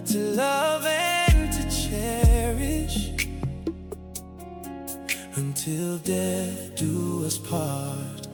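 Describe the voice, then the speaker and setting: Woman singing slow r&b, high voice, loud music behind her. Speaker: singer. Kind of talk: rhythm and blues singing.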